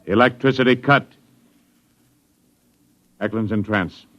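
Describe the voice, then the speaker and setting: Stern starship officer, low, clipped, scornful, old radio drama with dull, noisy sound. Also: male voice, takes it slowly. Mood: brisk, commanding. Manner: curt, clipped commands and sarcasm. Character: gruff, scornful senior spaceship officer. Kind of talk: 1950s radio drama acting.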